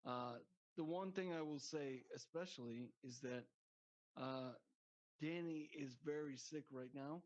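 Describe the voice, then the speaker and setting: A man's voice: fairly high pitch, a little slow. A man guesting on a podcast, joking crudely and rambling in casual chat. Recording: dull lo-fi sound.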